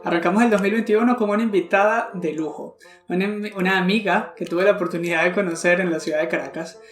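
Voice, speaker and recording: Male podcast host, higher voice, gushing and repetitive questions, roomy echo on the audio. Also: fairly even intonation, fast, a bit bright, faint music behind. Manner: effusive, repeats himself while phrasing questions. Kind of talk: friendly interview.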